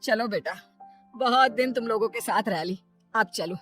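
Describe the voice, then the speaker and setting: A woman's voice: on the high side, moderate pace, fairly bright tone, faint music behind, natural voice. A woman voicing a scolding, easily offended older village mother-in-law who loves gossip. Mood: sulky.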